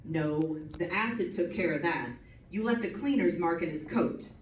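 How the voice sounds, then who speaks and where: A woman playing a gruff, sarcastic, stubborn male homicide detective in old-time radio drama, with slangy tough-cop talk. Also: fairly low pitch, moderate pace, lightly acted. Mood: dry accusing. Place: live stage performance, mic at a distance. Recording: distant mic in echoey, noisy room.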